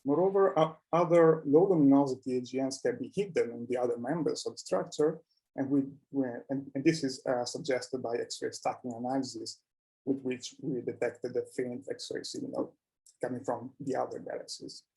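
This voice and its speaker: Mellow-voiced man giving a scientific talk. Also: mid-range voice, moderate pace, low-quality recording. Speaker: researcher presenting at a conference. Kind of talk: scientific conference presentation.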